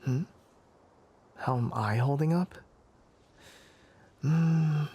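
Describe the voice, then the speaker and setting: A man playing a young, scholarly forest ranger with animal ears in an ASMR roleplay, courteous and measured, with a soft, breathy voice. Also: on the high side, full character voice. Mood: polite, welcoming. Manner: measured, hesitant, courteous, bookish phrasing. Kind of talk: ASMR roleplay, soft character acting addressed to listener.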